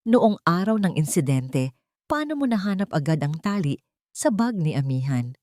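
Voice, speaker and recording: Woman voicing a commanding, suspicious businessman, lively intonation. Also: lowish voice, normal speed, no room sound, studio quality. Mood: controlled, probing.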